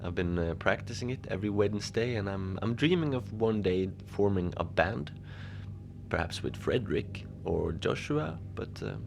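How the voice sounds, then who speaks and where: A man playing a lonely, gentle Antarctic facility manager, rambling hesitantly and apologetically in a cozy fiction podcast monologue. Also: lowish voice, animated, normal speed, creaky, background noise. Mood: wistful, friendly. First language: Norwegian. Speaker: podcast narrator.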